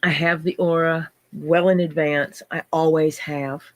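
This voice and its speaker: A woman's voice: sits low, little pitch movement, a little slow. A woman with a scratchy voice from illness, hosting a casual livestream chat and greeting viewers by name as she talks. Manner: chatty, greets viewers by name mid-story. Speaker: livestream host.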